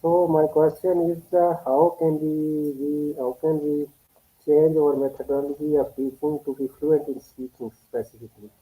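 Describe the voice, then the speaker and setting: Kashmiri guy hosting a podcast on a video call, uh uh uh, very dark voice. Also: fairly high pitch, level tone, moderate pace, rough recording. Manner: hesitant, many uhs. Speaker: podcast host, teacher. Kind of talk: podcast interview.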